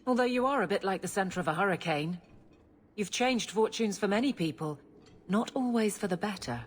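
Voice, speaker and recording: An actress playing a dutiful captain of the city guard in a video game, with a dry, matter-of-fact manner. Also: female voice, medium pitch, lively intonation, fairly quick, slightly rough. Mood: dry, teasing. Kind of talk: video game cutscene acting.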